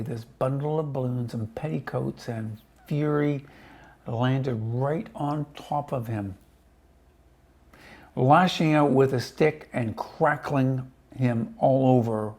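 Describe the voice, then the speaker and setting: Husky man reading a children's story. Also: mid-range voice, a little mellow. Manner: conversational, chatty asides to listeners. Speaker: storybook reader.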